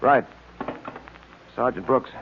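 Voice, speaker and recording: A man's voice: slow, natural voice. A man playing an upright, earnest police detective in old radio drama style, speaking in a firm, clipped, fatherly way. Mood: businesslike. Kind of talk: 1940s radio acting. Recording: tinny noisy old radio recording.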